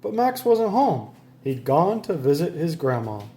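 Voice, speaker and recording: Animated, high-voiced man reading a storybook. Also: unhurried. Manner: playful, stressing sound words. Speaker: storybook reader. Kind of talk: animated read-aloud narration for children.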